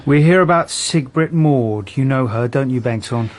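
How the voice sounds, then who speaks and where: An actor playing a methodical detective inspector in a radio drama, questioning in a direct, terse way. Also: male voice, mid-range voice, normal speed, lightly acted. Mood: probing.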